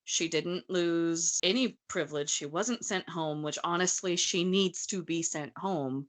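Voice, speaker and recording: A woman's voice: lowish voice, unhurried. A woman talking through a book in a conversational, opinionated way. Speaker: podcast host. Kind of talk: casual book discussion.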